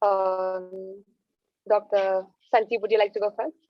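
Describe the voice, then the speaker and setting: A woman's voice: mid-range voice, level tone, measured pace, no echo. A woman moderating an online panel, handing questions to doctors and affirming briefly. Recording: dull dry online call audio.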